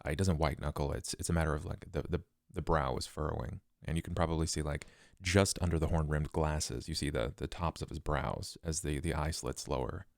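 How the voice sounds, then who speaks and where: A man playing a tabletop game, describing his character's actions haltingly as he searches for words. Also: a bass voice, fairly even intonation, gravelly, no echo, studio quality.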